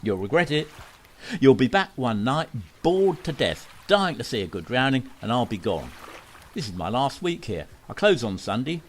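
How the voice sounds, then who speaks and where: Man acting a pushy drowning showman, wheedling salesman patter, lively swooping pitch, radio play, faint hiss. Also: moderate pace, lightly acted. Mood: pleading. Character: pushy male con man who stages his own drownings for money.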